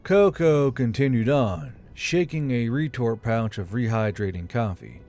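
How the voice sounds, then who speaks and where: A man's voice: medium pitch, lively intonation, measured pace, a little mellow. A man reading military fantasy fiction as a scripted audiobook narration. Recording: music under the voice.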